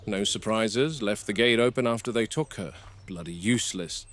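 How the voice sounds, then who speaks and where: A man playing a seasoned, watchful game warden, recording an analytical field log in measured, clipped observations. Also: fairly low pitch, fairly bright tone, close to an everyday voice. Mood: irritated. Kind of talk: found-footage field log monologue. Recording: noisy VHS-style found-footage audio.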